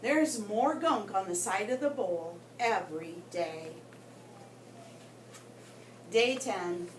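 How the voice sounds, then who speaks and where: A woman reading a story aloud to children in an expressive way, with dramatic pauses and emphasis. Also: medium pitch. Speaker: guest story reader for children. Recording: roomy, distant mic with background noise.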